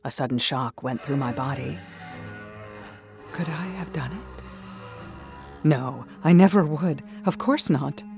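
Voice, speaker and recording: A woman reading a first-person horror story in a hushed, dreamy way that slips into whispers. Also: low-pitched, takes it slowly, pauses often. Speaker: narrator. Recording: soft music behind.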